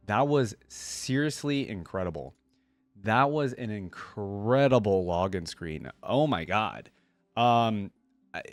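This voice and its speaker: Male reaction host, lowish register, casual and enthusiastic slangy commentary over soft background music. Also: no echo. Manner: enthusiastic, informal. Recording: music playing behind the voice. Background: game music.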